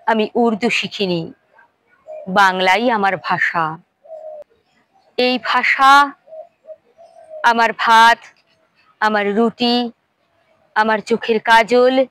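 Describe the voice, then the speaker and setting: A woman recites dramatic verse in a high voice. Her delivery is slow and impassioned, with emphatic phrases and deliberate pauses. The recording is dry and close, with very little room sound. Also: a bit bright, no room sound, poor audio. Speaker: poetry reciter.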